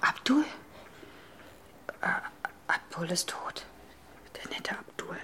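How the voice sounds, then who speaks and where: An actress playing an anxious, protective older sister in a radio drama, breathy and often dropping into whispers. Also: female voice, takes it slowly, a bit bright. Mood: shaken. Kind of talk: German radio drama acting.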